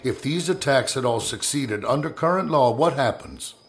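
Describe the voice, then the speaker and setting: An actor playing a stern, commanding 1860s Secretary of War in a historical audio drama, speaking with clipped, formal authority. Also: male voice, mid-range voice, lively intonation, moderate pace, lightly acted. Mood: grave, controlled. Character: stern, commanding male Secretary of War in 1865, grimly resolute. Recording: dramatic music under the voice.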